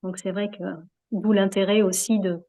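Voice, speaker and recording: A woman's voice: medium pitch, flat delivery, moderate pace, warm tone. Woman speaking reflectively, searching for her words. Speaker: interview guest, yoga practitioner. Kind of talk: reflective conversational interview.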